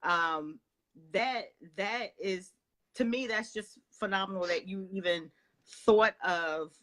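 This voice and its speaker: A woman hosting an interview from her home, her voice notably bright and crisp. She talks in a halting way, full of fillers and pauses, and keeps affirming what her guest says. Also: medium pitch. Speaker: interview host. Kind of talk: interview chat.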